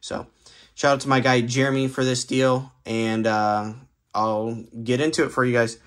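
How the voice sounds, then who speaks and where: A young man hosting a sports card channel, briskly running through his card pickups with frequent fillers, filmed at a table indoors. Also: mid-range voice, steady tone, a bit bright. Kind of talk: casual card pickup rundown. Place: indoor room, cards on a table.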